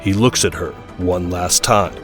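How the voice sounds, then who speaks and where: Low-voiced man narrating action over music. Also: unhurried. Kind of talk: scripted audio drama action narration.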